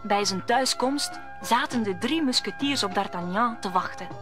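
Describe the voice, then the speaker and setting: A woman's voice: mid-range voice, expressive, moderate pace, over music. A woman narrating a radio play as a scripted story.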